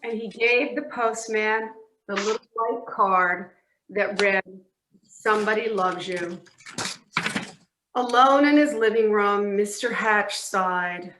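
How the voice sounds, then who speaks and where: A woman's voice: medium pitch, slow pace, background noise. A woman narrating a children's story for a readers theatre performance in an echoey room.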